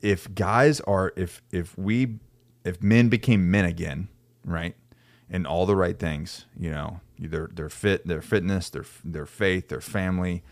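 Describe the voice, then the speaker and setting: A man's voice: sits low, steady tone, a little slow, no room sound, clean studio sound. A man hosting a casual podcast conversation, talking earnestly with many restarts. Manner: earnest, restarts often, talks about men leading by example. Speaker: podcast host.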